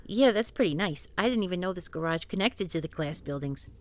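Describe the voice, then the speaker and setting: A woman's voice: on the low side, expressive, brisk, close to an everyday voice. A woman voicing a nervous young student in an audio roleplay who rambles and keeps correcting herself. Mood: flustered. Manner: flustered, rambling, self-correcting.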